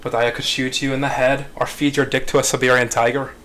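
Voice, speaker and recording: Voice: mid-range voice, level tone, quick pace, a bit bright, a little hiss or hum, lightly acted. A performer at a table reading playing a menacing, foul-mouthed male gangster boss. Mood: threatening.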